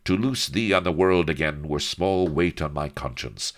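Man playing a haughty, steely gentleman adventurer. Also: very deep voice, moderate pace, pitched above the performer's own voice. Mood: cold, commanding. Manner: clipped, scornful, archaic formal speech.

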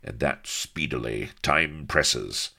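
A man's voice: rumbling low, big pitch swings, a little slow, vocal fry, fairly bright tone, heavily acted. Guy doing a posh snooty hero swordsman, clipped old-timey speech, cold and bossy, very up and down. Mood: cold, commanding. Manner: clipped, scornful, archaic formal speech. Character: haughty, steely young gentleman hero, the king's man.

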